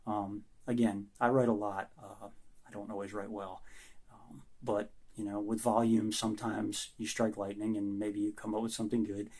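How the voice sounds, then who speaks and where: A low-voiced man with a gravelly, fry-laden tone, his voice going hoarse as he answers interview questions. He talks in a flat, even line and pauses often, with a halting but sincere manner. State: losing his voice. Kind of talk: recorded self-interview answers.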